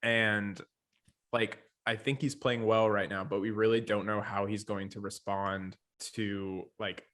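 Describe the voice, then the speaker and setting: A man's voice: on the low side, steady tone, unhurried, a bit bright. A man speaking casually as a co-host in a sports discussion.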